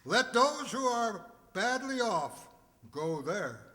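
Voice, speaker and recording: A man acts out a grumpy old miser in a radio play. The voice is high and hard-edged, gruff and full of contempt, ringing through a long hall reverb. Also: very animated, slow, sharp tone, heavily acted. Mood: dismissive. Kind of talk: radio play acting of a Victorian tale.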